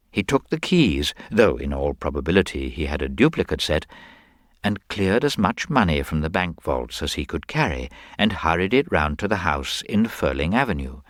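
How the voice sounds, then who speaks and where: Man playing a low, mild-mannered shrewd detective. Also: dry recording, clean studio sound. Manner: polite, murmuring, deliberate with small hesitations. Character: mild-mannered, shrewd, hesitant male government investigator. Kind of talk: dramatised audiobook detective story.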